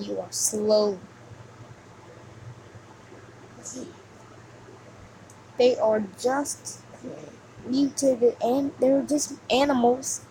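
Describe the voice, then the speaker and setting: A woman's voice: fairly high pitch, mellow, lightly acted. Woman as a mocking game demon, noisy recording. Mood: scornful mocking. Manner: taunting, scornful, drawn-out sighing delivery. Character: mocking, sneering demon taunting the player after deaths. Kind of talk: amateur video game villain voice lines. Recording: noisy amateur home recording.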